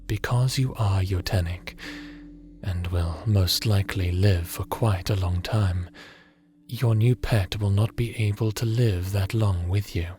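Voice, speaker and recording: A man playing a gentle, fatherly creator god with a hidden weariness in a scripted audio roleplay, soft-spoken and deliberate, sometimes slipping into a whisper. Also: deep voice, soft background music. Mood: tender, doting. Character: gentle fatherly creator god, tender mentor, secretly haunted and weary.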